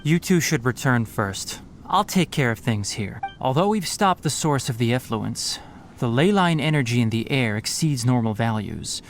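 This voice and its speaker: A young man voicing a calm, rational forest ranger and scholar in a studio game, explaining in a measured way and giving firm instructions. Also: mid-range voice, a little hiss or hum, close to an everyday voice. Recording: game audio with faint music underneath.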